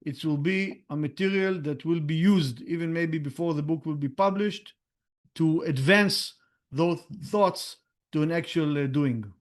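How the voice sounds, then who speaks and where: A man's voice: a little high, unhurried. A man with an Israeli accent, a native Hebrew speaker, explaining his book's core idea in an interview with many hesitations. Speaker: book co-author and retired general.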